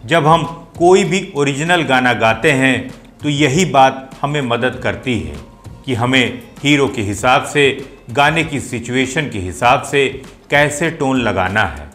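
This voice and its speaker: A man's voice: mid-range voice, lively intonation, a little slow, fairly bright tone, faint music behind. A man hosting a talk on playback singing, explaining with enthusiasm and admiring rhetorical asides.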